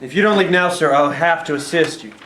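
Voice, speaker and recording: A man reading the part of a dignified army major in a staged play reading, polite but steady and firm. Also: fairly high pitch, fairly quick, a loud crowd, lightly acted. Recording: echoey room.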